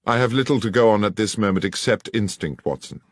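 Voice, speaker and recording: A man playing a shrewd gentleman detective with an English accent, formal, precise and authoritative. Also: lowish voice, expressive, fairly quick, dry recording, studio quality, pitched above the performer's own voice. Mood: pensive. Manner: crisp, precise, formal, commanding.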